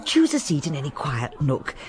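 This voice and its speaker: An actress playing a fussy, chattering older housekeeper in a radio drama. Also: female voice, low voice, huge pitch swings, normal speed. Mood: bustling. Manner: bustling, chattering.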